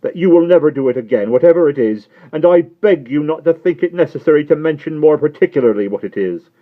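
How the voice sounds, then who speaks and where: A frantic middle-aged spinster, put on by a male reader, pitched far above his own voice and loud with distress. She flutters on the edge of tears, shrill and breathless with worry. The recording is muffled and lo-fi. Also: animated, fast talker, lightly acted. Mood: distraught.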